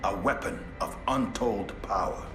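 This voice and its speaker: A man playing a deep, calm space marine advisor. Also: a little slow, reverberant, over music, close to an everyday voice. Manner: calm, informative. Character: deep-voiced male space marine advisor, dry and wise.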